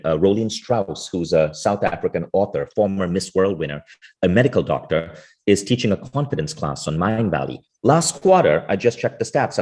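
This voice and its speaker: Male podcast host, husky timbre, effusive and enthusiastic. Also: low voice, darkish tone. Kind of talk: podcast interview.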